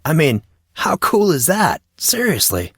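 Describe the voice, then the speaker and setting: A man's voice: on the high side, wildly swooping pitch, unhurried, a bit bright, slightly rough, no echo, clean studio sound, voice pushed up, heavily acted. A man voicing a laid-back teenage boy who is keen on martial arts and talks in casual dude-and-bro slang.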